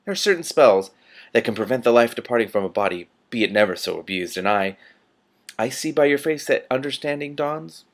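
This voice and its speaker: A man's voice: medium pitch, animated, close to an everyday voice. Man playing a silkily menacing, formal ruler. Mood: silkily threatening. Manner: formal, measured, faux-polite. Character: smooth, menacing male ruler issuing veiled threats.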